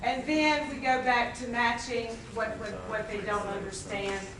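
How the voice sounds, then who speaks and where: A woman's voice: on the high side, little pitch movement, a little slow. A woman teacher presenting classroom games to colleagues at a training, talking chattily and restarting her sentences. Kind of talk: classroom demonstration talk. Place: school meeting room. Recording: roomy, distant mic, faint noise.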